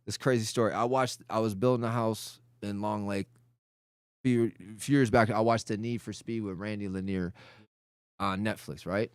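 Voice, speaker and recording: A man, rambling and animated as he tells stories in a casual podcast chat. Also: on the low side, moderate pace, clean tone, no room sound, studio quality. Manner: rambling, animated, restarts a lot. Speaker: podcast guest, cannabis advocate.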